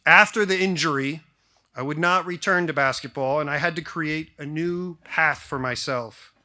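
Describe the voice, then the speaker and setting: American male, 40s, formal commencement-style speaker, slightly high pitch, bright forceful tone, measured delivery with deliberate pauses. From grew up in Japan, then suburban Detroit, US. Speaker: school faculty member giving a valedictory speech. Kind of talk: prepared formal speech to graduating class.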